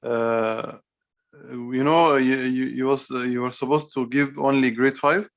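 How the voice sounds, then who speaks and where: A man's voice: medium pitch, steady tone. Hesitant male teacher on a video call. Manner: hesitant, halting, repetitive phrasing. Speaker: computer teacher for young children. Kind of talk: online class instruction.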